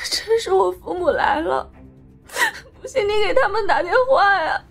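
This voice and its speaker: A woman playing a battered, indignant young woman in an audiobook drama, pleading in a shrill, rapid way. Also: creaky, full character voice. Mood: sobbing protest.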